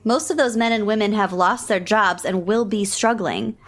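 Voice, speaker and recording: A woman's voice: mid-range voice, normal speed, natural voice. A woman acting in an audio drama as a smug, catty council politician with pointed, insincere delivery. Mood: falsely sincere. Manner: sugary insincerity with pointed digs. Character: smug, fake-sincere, catty female city council politician.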